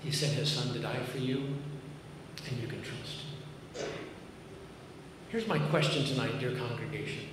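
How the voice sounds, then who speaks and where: A man in his fifties preaches with a Massachusetts accent, earnest and conversational, slipping in dry jokes at his own expense. He stands at a podium on the stage of a large church auditorium, picked up by a camera far back in the room. The sound rings through a roomy hall with a long tail of reverb and clear background noise. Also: mid-range voice, normal speed, long pauses. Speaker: pastor. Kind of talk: sermon. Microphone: podium mic, filmed by a far camera.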